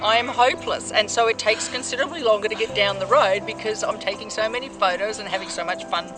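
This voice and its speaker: A middle-aged woman from the United States chats enthusiastically in a casual interview style. Her pitch is average for a woman, and music plays clearly behind her. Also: quick pace, low-quality recording. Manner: enthusiastic, chatty. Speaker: hiker interviewed for a documentary. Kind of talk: casual, anecdotal interview talk.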